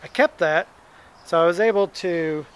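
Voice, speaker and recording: A man in his thirties casually explaining his camper renovation while he works, with a relaxed, filler-heavy way of talking. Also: high-pitched, moderate pace, slight background noise. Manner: relaxed, chatty, many "uh" fillers. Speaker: DIY camper renovation vlogger. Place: backyard outdoors. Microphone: handheld camera close up.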